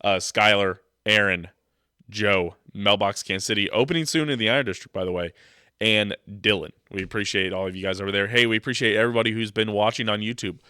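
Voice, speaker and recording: A man's voice: fairly low pitch, fast, pushed and bright, smooth, no room sound, studio quality. A young man with a stuffed-up nose from a cold, hosting a casual sports podcast and rambling with jokes into his microphone. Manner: rambling, joking, loose. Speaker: podcast host.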